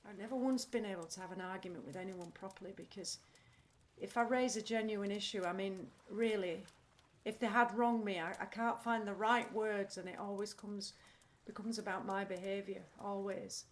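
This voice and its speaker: An actress playing a troubled, candid, anxious woman in an audio play, halting as she searches for words. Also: medium pitch, fairly even intonation, close to an everyday voice. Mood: subdued, confessional. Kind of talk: audio play acting, confessional monologue.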